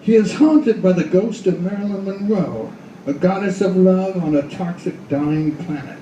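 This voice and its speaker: A man narrates a noir radio play in a high, mellow voice. His pitch moves in lively swings. He is recorded from some distance in an echoey room. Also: moderate pace. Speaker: narrator, author reading his story. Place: live reading in a roomy venue. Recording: echoey room, distant mic, faint noise.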